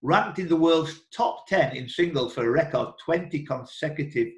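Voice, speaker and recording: A man's voice: on the high side, animated, normal speed, a little hiss or hum. Echoey male quiz host. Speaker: quiz host and question reader. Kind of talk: live quiz hosting, reading questions aloud. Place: indoor room, online video group call. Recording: roomy, echoing online call audio.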